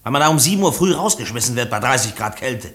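A man's voice: medium pitch, animated, fast, a bit bright, natural voice. Actor as bitter, desperate down-and-out man, widely swinging voice. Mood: desperate outburst. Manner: defiant, embittered, dramatic. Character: bitter, desperate, destitute jobless man turned prisoner. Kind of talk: German radio play acting.

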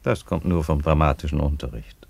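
An actor in a German radio play as an unhurried, ironic Roman emperor, speaking in a dry, deadpan way. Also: male voice, very deep voice, vocal fry, noisy background. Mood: ironic, calm.

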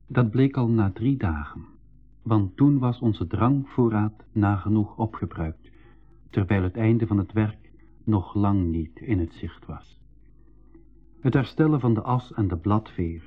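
A man's voice: fairly low pitch, husky, phone-quality sound. A man with a Flemish accent playing a gloomy young brother who also narrates the adventure, in a 1980s school radio drama. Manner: pessimistic.

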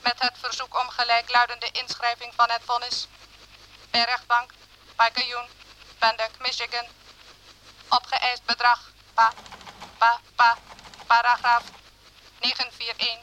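An emotionless female computer in a radio drama. It speaks slowly and without any inflection, in bright, mechanical, clipped phrases. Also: fairly high pitch, monotone, slight background noise, rough recording. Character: emotionless female computer reading punched cards. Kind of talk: radio play, mechanical data readout.

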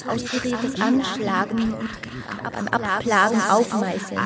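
A high-pitched female reciter delivers absurd word chains for an experimental radio play. She is slow and insistent, stressing every segment, with clear crowd sound behind her. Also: steady tone. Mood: deliberate, insistent. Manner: slow, segmented, emphatic enunciation.

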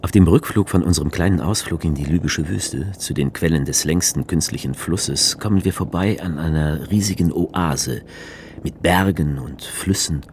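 A man's voice: a bass voice, normal speed, slight background noise. An actor narrating scenes in the first person in a radio drama, describing what he sees in vivid detail.

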